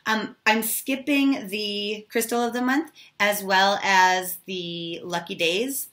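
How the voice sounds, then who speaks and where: A woman in her thirties, slightly hoarse from losing her voice, giving a casual, chatty tarot reading straight to viewers. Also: medium pitch, takes it slowly, fairly bright tone. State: recovering from a lost voice, slightly hoarse. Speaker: tarot reader and video host.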